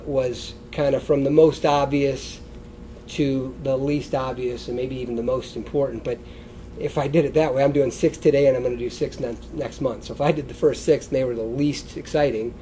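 Congested, mellow middle-aged American man. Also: mid-range voice, fairly even intonation, normal speed, slight background noise, rough recording. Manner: conversational, loosely structured. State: congested, sniffly. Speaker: chiropractor giving a wellness talk. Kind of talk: informal seated lecture. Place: indoor stage beside a wooden lectern, seated.

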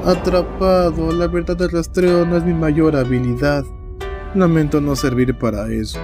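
Guy doing fake sweet anime demon, latin american spanish, high voice, oily polite, music playing. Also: fairly even intonation, moderate pace, natural voice. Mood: mock sorrow. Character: falsely sweet, playful, unctuous male demon. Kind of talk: anime fan dub acting.

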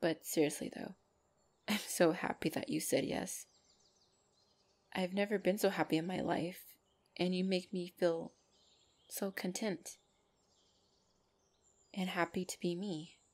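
A woman's voice: lowish voice, steady tone, unhurried, pauses often. A woman playing a loving, bashful and protective orc girlfriend in an intimate ASMR roleplay, speaking tenderly and hesitantly. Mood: relieved, happy, teasing. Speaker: voice actor in audio roleplay.

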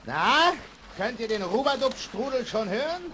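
A man's voice: extremely high pitch, sing-song, moderate pace. An actor playing a boatman guide who tells spooky tales with dramatic suspense in a German children's audio drama. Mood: urgent, excited. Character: male boatman guide, teasing spooky storyteller. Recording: noisy vintage radio play with ambience.

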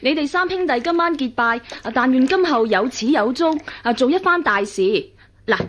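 High-voiced, poised young lady. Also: lively intonation, moderate pace, fairly bright tone, a little hiss or hum. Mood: courteous. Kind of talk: Cantonese radio drama acting.

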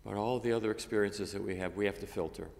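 An older man, a priest, reading a gospel and preaching a homily at a lectern microphone, measured and halting with many fillers. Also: fairly low pitch, steady tone, gravelly, a little mellow. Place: cathedral chapel, at a lectern. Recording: long reverb of a chapel.

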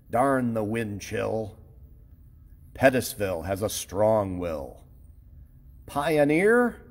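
Midwestern male weatherman, very slow and lively sing-song rhymes, husky tone, long reverb. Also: mid-range voice. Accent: Midwestern American. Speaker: TV chief meteorologist. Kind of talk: rhyming weather recap.

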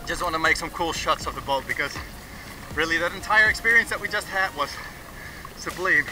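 A man's voice: a little high, a little fast, rough recording. A Dutch man in his thirties with a Dutch accent, chatting enthusiastically to a handheld action camera outdoors by the sea. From Netherlands. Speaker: vlogger. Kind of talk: casual travel vlog. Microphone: action camera held at arm's length. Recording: music playing underneath.

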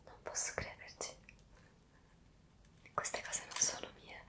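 A woman's voice: takes it slowly, sharp tone. A young woman playing a bewildered time traveller in a whispered ASMR roleplay, hesitant and full of wonder, close to the microphone. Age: young adult. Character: bewildered young woman magically transported to the past.

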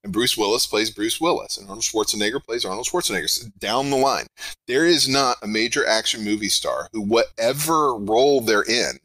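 A middle-aged man with a big projecting voice, talking in a rambling, animated way as a podcast interview guest. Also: lowish voice, brisk, dry recording, low-quality recording. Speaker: game designer guest.

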